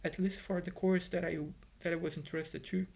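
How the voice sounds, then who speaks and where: Man, Brazilian accent, Portuguese native speaker, husky, slightly high voice, rambling frustrated vlog. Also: flat delivery, brisk, darkish tone. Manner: frustrated, rambling with many fillers and restarts. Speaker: vlogger. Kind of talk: casual vlog monologue. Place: home in Ireland.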